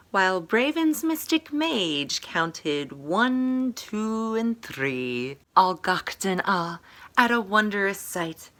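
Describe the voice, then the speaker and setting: A woman's voice: on the high side, a bit bright. A woman author presenting and reading a storybook aloud in a theatrical, rhythmic way. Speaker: author promoting and reading own book. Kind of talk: storybook read-aloud and promotional talk.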